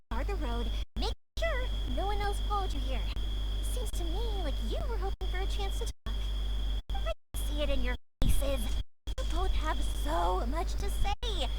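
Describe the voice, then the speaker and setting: A woman's voice: extremely high pitch, heavy background noise. An actress playing an outspoken young woman in a video game, earnest, pleading and emphatic. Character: impassioned, outspoken young woman, fireworks maker, meddling peacemaker. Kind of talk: animated video game voice acting.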